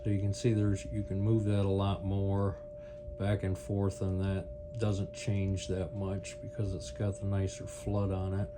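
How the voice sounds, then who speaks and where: A man's voice: sits low, unhurried, over music. A man giving a casual, unscripted product demonstration, rambling and thinking aloud as he goes. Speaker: product review video host. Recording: clear steady hum and background noise.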